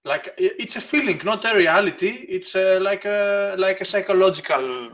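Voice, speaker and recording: A man's voice: high voice, expressive, normal speed, fairly bright tone. A man talking as a guest in a casual conversation, explaining ideas at length in a thoughtful way. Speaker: guest, blogger.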